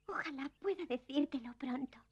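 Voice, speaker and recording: An actress playing a gentle, polite and frail young girl in a dubbed children's cartoon, sweet and earnest. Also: high voice, normal speed, lo-fi sound.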